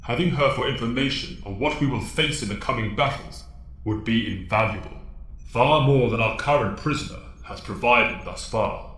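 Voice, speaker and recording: An actor playing a solemn, authoritative mentor to young heroes in an audio drama, speaking formally and precisely. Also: male voice, mid-range voice, normal speed, fairly bright tone, lightly acted. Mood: grave, formal. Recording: echoey, reverberant voice.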